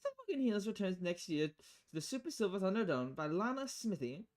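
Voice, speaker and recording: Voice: medium pitch, sing-song, brisk, darkish tone, pitched above the performer's own voice, lightly acted. A performer voicing an upbeat news reporter reading quirky headlines aloud in a presentational announcer manner. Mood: chirpy, announcing. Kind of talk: scripted news article read aloud.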